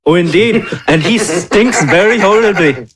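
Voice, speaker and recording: A man's voice: sits high, animated, normal speed, a crowd in the background. A man playing a tabletop role-playing game, trading casual in-character banter with the group.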